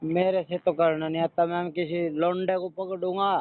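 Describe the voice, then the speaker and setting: A man's voice: sits high, level tone, moderate pace. A man with a Haryanvi accent speaking as a caller on a phone line, in a rustic, blunt and persistent manner. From Haryana, India. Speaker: customer calling a helpline. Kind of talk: joking phone call. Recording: noisy, tinny phone call recording.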